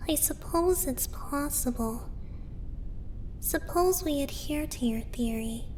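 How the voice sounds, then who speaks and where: A woman playing a thoughtful, analytical female sage in an audio roleplay, measured and articulate. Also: sits high, darkish tone, slight background noise. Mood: curious, pondering.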